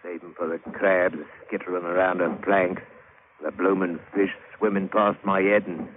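Working-class British seaman-diver played by a male actor, low-pitched, colloquial old-salt storyteller, muffled old radio broadcast. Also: level tone, normal speed, a little hiss or hum, close to an everyday voice. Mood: hushed storytelling. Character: plain-spoken working-class sailor-diver telling his grim adventure. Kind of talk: 1940s-50s radio drama acting and narration.

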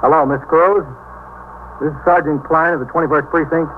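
Actor as patient American police sergeant, higher-pitched, tinny radio. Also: male voice, fairly even intonation, fairly quick, natural voice. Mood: patient, reassuring. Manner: reassuring, plain-spoken. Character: patient, polite male police desk sergeant. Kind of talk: 1950s radio police drama acting. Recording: narrow-band 1950s radio recording, faint noise.

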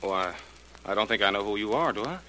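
An actor playing a shifty, nervous husband with a murderous streak in a 1940s radio drama. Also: male voice, on the low side, animated, fast, natural voice. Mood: nervous, evasive. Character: nervous, shifty husband turned menacing wife killer. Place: radio studio. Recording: noisy, bright vintage radio recording.

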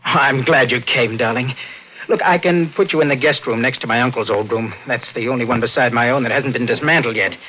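A man's voice: mid-range voice, fairly quick, pushed and bright, slight background noise, close to an everyday voice, poor audio. An actor playing a guilty, nervous, morose man in a 1940s radio mystery, speaking with brooding, dramatic delivery. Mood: uneasy, affectionate. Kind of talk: 1940s radio mystery acting, narration and dialogue. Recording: old radio broadcast with music behind.

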